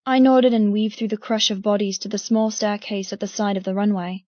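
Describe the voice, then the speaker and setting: A woman's voice: mid-range voice, flat delivery, a little fast, a little mellow, no echo, lo-fi sound. A woman reading first-person dramatic fiction as an audiobook narrator.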